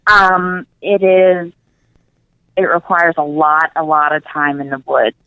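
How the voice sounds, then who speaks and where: A woman's voice: low voice, level tone, slow, pushed and bright, clear, resonant tone, no echo, highs cut off. A woman speaking as a guest in a casual radio interview, earnest and emphatic, repeating words for emphasis. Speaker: interview guest, nutrition coach.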